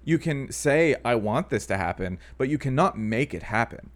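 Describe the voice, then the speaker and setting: A man in his thirties talking casually on a podcast, his pitch rising and falling a lot. He rambles, hedges with fillers and restarts his sentences, at a middling pitch. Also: lively intonation, normal speed. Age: 30s. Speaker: podcast co-host. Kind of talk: casual chat.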